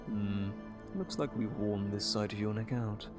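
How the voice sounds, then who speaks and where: An actor playing a sadistic, gleeful evil doctor in an audio drama, condescending and mock-tender, with theatrical, drawn-out phrasing. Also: male voice, lowish voice, fairly even intonation, over music, close to an everyday voice. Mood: silky menace.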